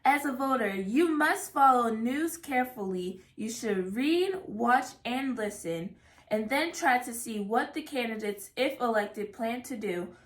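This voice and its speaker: A woman reading a rhyming children's picture book aloud in a measured, careful way. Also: a little high, animated. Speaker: read-aloud reader. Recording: echoey room.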